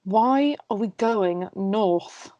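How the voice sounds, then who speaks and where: A woman's voice: medium pitch, very slow, mellow, highs cut off, a little hiss or hum. A woman playing a witty, sardonic dwarf lord in an amateur script table read. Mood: questioning. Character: witty, insolent adult nobleman, a dwarf lord.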